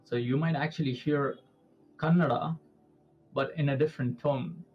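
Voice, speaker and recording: A man's voice: medium pitch, steady tone, normal speed, soft background music. A young man with an Indian accent, a native Urdu speaker, explaining things as a guest in a casual interview and often checking with "right?". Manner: explanatory, with repeated "actually" and "right?". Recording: dull lo-fi sound.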